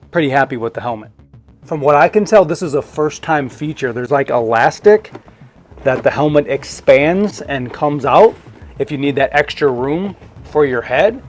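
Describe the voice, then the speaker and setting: A man giving a casual, hands-on unboxing review in a conversational way, thinking aloud as he goes. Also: on the high side. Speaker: product reviewer.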